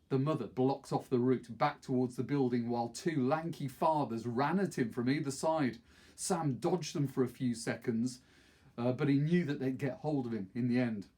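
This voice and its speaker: A middle-aged man reads a novel aloud as a teacher would, in expressive storybook narration. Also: medium pitch, slightly rough. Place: small wood-panelled study, indoors.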